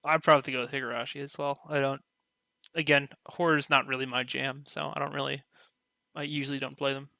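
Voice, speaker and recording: A man giving relaxed, offhand opinions in a casual podcast discussion. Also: mid-range voice, brisk, gravelly, no echo.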